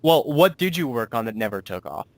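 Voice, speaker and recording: A man's voice: medium pitch, big pitch swings, brisk, no room sound. A man hosting a casual, chatty interview in an enthusiastic, reactive manner. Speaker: interviewer, host.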